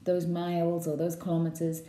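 A woman's voice: lowish voice, completely flat delivery, normal speed, mellow, slight background noise. A woman reading a scripted voice-over for a presentation. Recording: muffled, echoey indoor voice-over.

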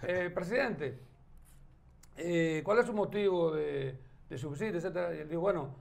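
A man's voice: a little high, brisk, vocal fry, a little mellow. A man in his forties with a Dominican accent, giving casual news commentary as a morning show co-host. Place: TV studio desk.

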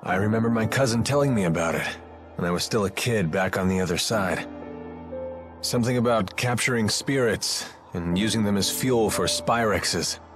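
A man voicing a weary, guarded middle-aged exile in a video game cutscene, explaining things in a measured way. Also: fairly low pitch, lightly acted. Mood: grave. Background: music.

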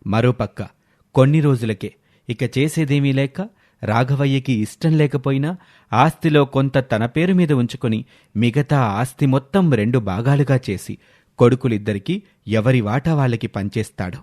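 A man's voice: medium pitch, moderate pace, darkish tone. A man narrating a scripted moral story as a storyteller.

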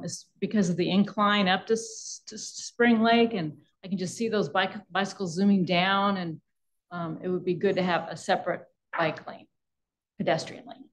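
A woman speaks to a public meeting. Her voice is medium in pitch. She is a resident offering comment. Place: indoor public meeting room.

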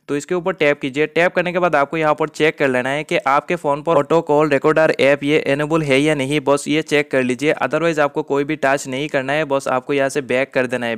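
Guy doing a how-to phone video, crisp voice a bit on the high side, walks thru each step. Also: fairly bright tone. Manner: instructional, repetitive step-by-step phrasing. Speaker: tech tutorial video host. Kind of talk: scripted step-by-step tutorial narration.

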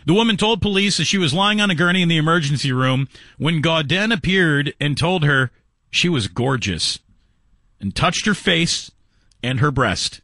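A man's voice: on the high side, animated, normal speed, sharp tone, dry recording. A man hosting sports talk radio, commenting in a mocking, incredulous, jokey manner. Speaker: radio talk show host.

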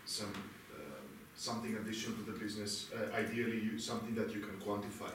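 A man's voice: fairly low pitch, little pitch movement, fast talker. A man in the audience answering a question hesitantly, searching for words. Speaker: audience member. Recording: far-off voice in a very echoey room, noise.

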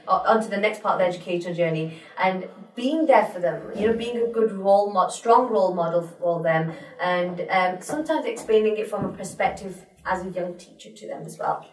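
A young woman, a teacher, speaking as a studio guest, fluent and chatty with frequent fillers. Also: lowish voice. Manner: fluent, chatty, peppered with you know. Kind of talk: studio interview. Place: small studio in front of a pink backdrop. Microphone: desk mic on a stand. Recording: roomy sound from a distant mic.

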